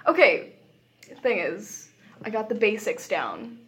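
A woman's voice: medium pitch, sing-song, slow, a bit bright. A young woman in casual podcast chat, giggly and self-deprecating in the way she talks. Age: young adult. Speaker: podcast co-host.

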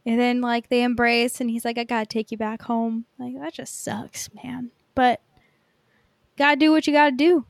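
High-pitched young woman, rambling casually. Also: flat delivery, normal speed, gravelly, dry recording, studio quality. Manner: rambling, peppered with "like". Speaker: podcast co-host. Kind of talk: casual film review chat.

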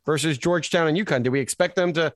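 An American man chatting casually as co-host of a sports podcast. He tells stories with energy and acts out the people in them. His voice sits a little high and sounds dry and close. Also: quick pace, no echo. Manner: animated storyteller, acts out quotes.

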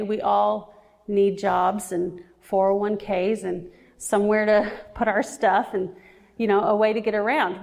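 Woman preacher in her forties, earnest and reflective, slightly gravelly, long church-hall reverb. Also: medium pitch, a little mellow. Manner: earnest, reflective, slows down for emphasis. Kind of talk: sermon.

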